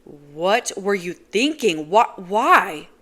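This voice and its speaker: A woman voicing a hot-tempered young man who shouts in exasperation. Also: medium pitch, animated, brisk, pitched above the performer's own voice, lightly acted. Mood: furious.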